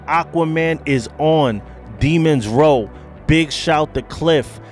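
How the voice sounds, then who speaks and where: A man hosting a motorcycle talk show, opening with slangy shout-outs to viewers and then asking questions. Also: fairly high pitch. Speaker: host. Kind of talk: show intro and interview questions.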